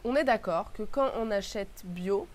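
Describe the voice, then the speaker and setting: A young woman with a cold talks to her viewers, her nose clearly stuffed up. She thinks out loud in an earnest way and stacks up words one after another. A faint hiss of background noise sits under her voice. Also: mid-range voice, unhurried. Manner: thoughtful, earnest, lists words in a row. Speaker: beauty vlogger. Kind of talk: casual reflective talk to camera.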